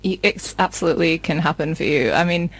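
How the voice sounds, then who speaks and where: A woman's voice: low voice, brisk, husky, no echo, poor audio. A woman in her early thirties talking in a reassuring, casual conversational way. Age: early 30s. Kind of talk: casual chat.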